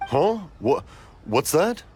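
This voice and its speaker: A man's voice: on the high side, big pitch swings, slow, a little mellow, slight background noise. A man voicing a kindly, hard-of-hearing old father and craftsman in a video game dub, genial and befuddled. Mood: befuddled, cheery. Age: older.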